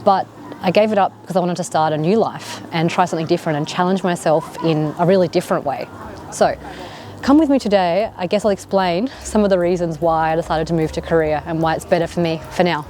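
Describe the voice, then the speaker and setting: A woman's voice: lowish voice, quick pace, warm tone. An Australian woman in her thirties chatting casually to camera in a vlog, rambling with lots of fillers. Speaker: vlogger.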